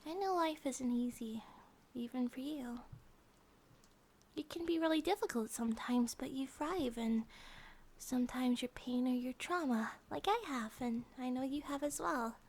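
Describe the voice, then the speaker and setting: A woman voicing a gentle, affectionate monster-girl bear wife in a soft ASMR comfort roleplay, often dropping to a whisper. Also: high voice, a little slow. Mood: soothing, loving.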